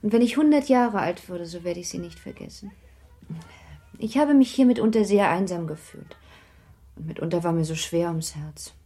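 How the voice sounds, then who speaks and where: An actress playing a melancholy, gentle young married lady of the nineteenth century in a literary radio drama, speaking in a wistful, genteel way. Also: on the low side, animated, normal speed, slightly rough. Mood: wistful farewell. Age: young adult. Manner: wistful, genteel, softly emphatic.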